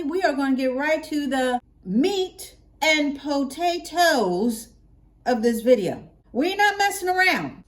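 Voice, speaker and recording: Expressive American woman chatting casually. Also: mid-range voice. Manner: folksy, expressive, repeats phrases for emphasis. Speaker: hair care vlogger. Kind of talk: casual chat to viewers. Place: open-plan living room at home.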